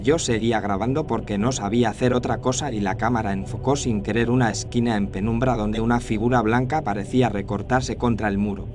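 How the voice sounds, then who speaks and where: A man's voice: fairly low pitch, steady tone, a little fast, hardly any pauses. A man reading a scripted first-person horror story as its narrator. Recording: faint noise and ambience behind narration.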